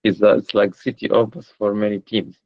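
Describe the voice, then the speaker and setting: A man's voice: lowish voice, level tone, brisk, dry recording. A man hosting a casual online chat, hesitant, with many filler sounds. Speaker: host, community organizer. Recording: dull lo-fi call audio.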